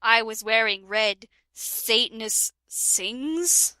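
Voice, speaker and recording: Young woman, comedic reader, mock-dramatic, unhurried, bright and high. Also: smooth, no echo. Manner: giggly, breaks into laughter mid-sentence; mock-dramatic, interrupts herself with asides. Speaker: reader of a parody fanfic.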